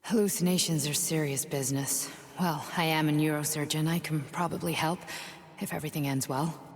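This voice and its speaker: A woman's voice: sits low, steady tone, brisk. A woman playing an intense, idealistic rebel neurosurgeon in a video game cutscene, speaking with earnest, emphatic and condescending conviction. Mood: earnest, subdued. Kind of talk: dramatic cutscene acting. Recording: long hall-like reverb.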